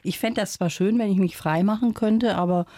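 Woman interviewer, chatty, curious, mellow tone, dry close sound. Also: mid-range voice, brisk, dry recording. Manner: chatty, curious, laughs along. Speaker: interviewer, host. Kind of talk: casual interview talk.

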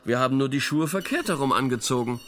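A man's voice: medium pitch, lively intonation, fast talker, fairly bright tone, a little hiss or hum, close to an everyday voice. Actor as a pompous, ironic male intellectual. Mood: wry, lecturing. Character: wry male intellectual theorising about modern absurdity. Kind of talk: conversational radio play dialogue.

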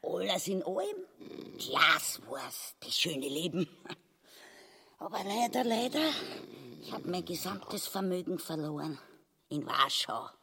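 A man's voice: high-pitched, big pitch swings, takes it slowly, creaky, pauses often, bright, forceful tone, breathy edge, heavily acted. A man playing a crude, greedy, scheming wife in a grotesque stage comedy, ranting theatrically and self-pityingly in Austrian dialect. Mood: whining, regretful. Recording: echoey hall with long reverb.

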